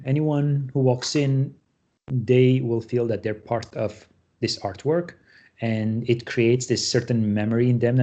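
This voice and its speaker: A middle-aged man, a guest artist explaining his work on a panel, halting with many fillers and restarts. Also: medium pitch, a little mellow. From Syria. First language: Armenian. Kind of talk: panel talk about his artwork.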